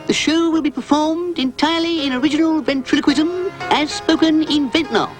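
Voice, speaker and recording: A man voicing an excitable, pompous male cartoon dog who fancies himself a playwright, speaking in a hammy, theatrical way. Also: very high-pitched, normal speed, music in the background, voice pushed up.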